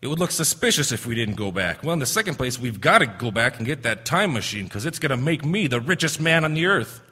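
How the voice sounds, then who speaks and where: Man playing a sharp, blustering, scheming husband. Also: mid-range voice, very animated, fast, slightly rough, exaggerated voice. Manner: blustering, forceful. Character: greedy, scheming, defensive husband. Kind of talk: old-time radio melodrama acting. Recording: reverberant stage hall.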